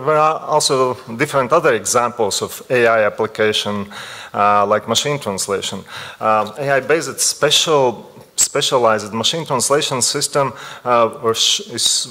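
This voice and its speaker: A middle-aged Latvian man speaks at a conference panel table into a gooseneck mic. His delivery is measured and careful, broken up by frequent small hesitations, and his pitch sits in an ordinary middle range. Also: pushed and bright, in a large hall. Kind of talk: prepared panel remarks. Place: conference room, seated at table.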